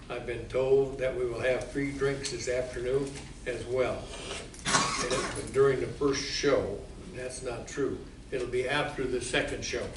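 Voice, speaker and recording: A mid-pitched man emcees a stage show with informal remarks and dry, joking asides. He is heard from a distance in an echoey room. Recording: echoey distant hall recording with noise.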